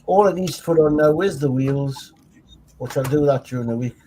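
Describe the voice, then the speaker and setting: A man's voice: a little high, normal speed, mellow, slight background noise, poor audio. A man with a Geordie accent chatting casually as a panellist on a live stream. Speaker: show regular and panellist. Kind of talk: casual chat.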